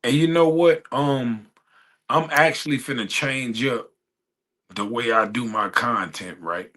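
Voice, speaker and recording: Male livestream host, raspy, bright and forceful tone, easygoing slang-heavy chat. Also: medium pitch, a little slow. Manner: laid-back slang, frequent "you know what I'm saying". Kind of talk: casual chat.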